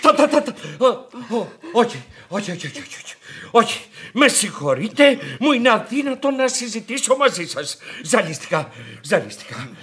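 A man's voice: very high-pitched, sing-song, bright, forceful tone, exaggerated voice. An actor playing a fussy, exasperated bank director in a farcical radio play, sighing and repeating his words. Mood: flustered, weary. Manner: stammering repetitions, sighing, fussy. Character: flustered, exasperated, self-important male bank director. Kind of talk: farcical radio theatre acting.

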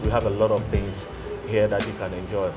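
A man's voice: on the low side, flat delivery, fairly quick. A young Ghanaian man hosting his YouTube interview, upbeat, laughing and repeating words. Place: indoor studio set. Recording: music playing behind the voice.

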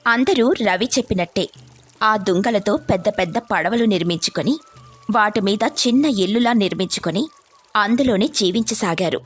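A woman's voice: medium pitch, animated, moderate pace, breathy edge, soft background music. A woman reading scripted narration as the storyteller of a moral tale.

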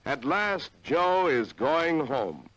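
A man's voice: fairly high pitch, very animated, takes it slowly, fairly bright tone. A man with an American accent narrating a 1940s educational film in an earnest, polished, formal way on a vintage soundtrack.

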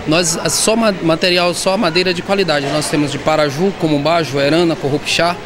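A high-voiced man answers a reporter, stopping and restarting his words as he goes. He speaks into a handheld microphone inside a shopping mall. Loud background noise runs under his voice the whole time. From southern Bahia, Brazil. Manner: halting, repeats and restarts words. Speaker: artisan interviewee. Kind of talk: street interview. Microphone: handheld reporter mic.

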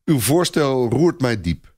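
Guy doing a proud young count in an audio story, impatient, flustered, swoopy pitch. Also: sing-song, slow, darkish tone, slightly rough, full character voice.

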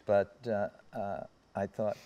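An American man in his seventies reminiscing in anecdotes on a panel, haltingly, often trailing off and circling back. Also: on the low side, level tone, muffled recording. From United States. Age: 70s. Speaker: panel guest recalling personal memories. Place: seated on a theatre stage.

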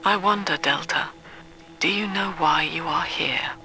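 An actress voicing a cold, brooding woman mastermind in a video game, speaking slowly in a gravelly half-whisper. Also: lowish voice, over music, lightly acted. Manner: slow, icy and reflective.

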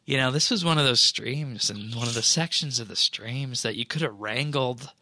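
Gravelly, bright-toned man co-hosting. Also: medium pitch, dry recording. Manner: measured, hesitant, drawn-out phrasing. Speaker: podcast co-host. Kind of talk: casual gaming news chat.

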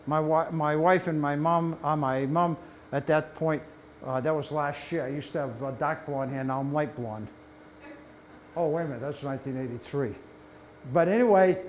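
Older man telling animated beekeeping stories. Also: high-pitched. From United States. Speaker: beekeeping presenter. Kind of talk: library talk to an audience. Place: library meeting room, mid-sized, indoors.

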